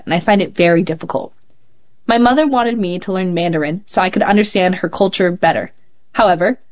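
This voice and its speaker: A woman hosting a show, reading young writers' poems and essays aloud in a calm, measured way. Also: mid-range voice. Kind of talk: measured read-aloud narration. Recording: slightly muffled, dull sound.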